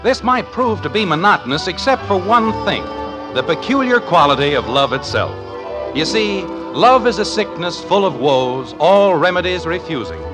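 A man's voice: high voice, big pitch swings, normal speed, poor audio. A man announcing and narrating a scripted vintage radio comedy in a polished, wry style. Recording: vintage radio broadcast with background music. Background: music.

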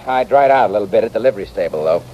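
An actor playing a dedicated, matter-of-fact town doctor in an old radio western, with an understated manner. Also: male voice, fairly low pitch, expressive, very fast. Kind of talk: 1940s-50s radio western acting. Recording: dull, noisy old radio recording.

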